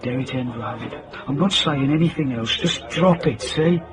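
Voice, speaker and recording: A man's voice: mid-range voice, moderate pace, crowd noise, natural voice. An actor playing a nervous, evasive man in a radio drama, hesitant and defensive. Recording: prominent music behind the voice.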